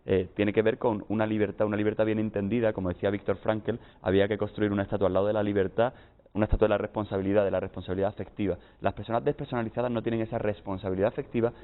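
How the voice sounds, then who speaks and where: A man's voice: fairly low pitch, level tone, very fast. A man from Spain with a Spanish accent, a guest psychologist explaining his points on a TV panel, often tagging "¿no?". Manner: explanatory, lists points with frequent "¿no?". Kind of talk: TV panel discussion. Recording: tinny lo-fi broadcast audio.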